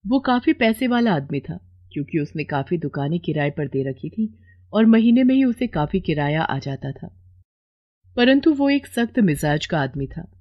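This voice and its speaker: Lowish-voiced woman storyteller. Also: muffled recording. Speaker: storyteller, narrator. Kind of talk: scripted moral story narration.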